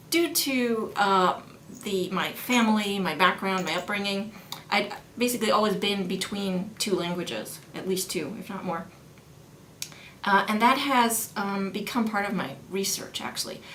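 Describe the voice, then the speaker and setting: A woman's voice: medium pitch, fairly bright tone. Middle-aged woman professor, roomy recording. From born in New York, raised in Vienna. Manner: thoughtful, many fillers and restarts. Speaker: German studies professor. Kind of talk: interview, casual explanation. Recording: roomy sound with faint background noise.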